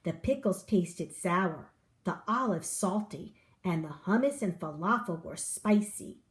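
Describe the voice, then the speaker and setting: A woman reading a children's picture book aloud, with an expressive storyteller delivery. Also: fairly low pitch, measured pace.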